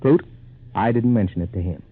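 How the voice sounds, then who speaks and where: A man's voice: on the low side, normal speed. A man playing a polite, assured city lawyer in 1940s radio acting. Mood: courteous, assured. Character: calm, courteous city lawyer. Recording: noisy, tinny 1940s radio recording.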